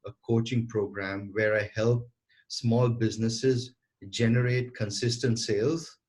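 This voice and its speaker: A man's voice: medium pitch, steady tone, measured pace, a little mellow, slightly rough. A man in his forties, a guest entrepreneur answering interview questions earnestly over a remote call, often repeating and restarting words. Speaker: guest entrepreneur and sales coach.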